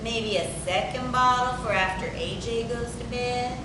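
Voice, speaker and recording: A woman's voice: a little slow, close to an everyday voice. A woman acting a working-class wife and mother, affectionate yet firm, in a naturalistic drama table reading. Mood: affectionate, encouraging. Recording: very echoey, distant, rumbling noise.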